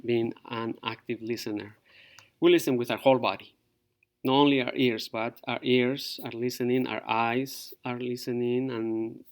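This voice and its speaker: Middle-aged teacher, halting. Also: male voice, medium pitch. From Peru. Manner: halting, many fillers. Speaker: fourth grade teacher. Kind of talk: virtual open house presentation to parents.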